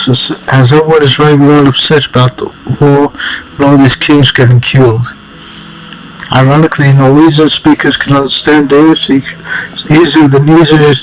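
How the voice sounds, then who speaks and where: A man with a slightly high voice reads a text adventure game aloud. He makes amused side comments as he goes. He clears his throat now and then and rereads phrases he stumbles over. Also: a little hiss or hum, rough recording. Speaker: game player reading aloud.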